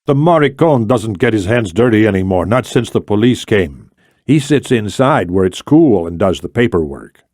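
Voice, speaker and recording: A male reader acts out a coarse Spanish construction worker from a thriller audiobook. He talks with scorn and derision in a lowish voice, recorded dry. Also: very animated, moderate pace, darkish tone, no echo. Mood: derisive.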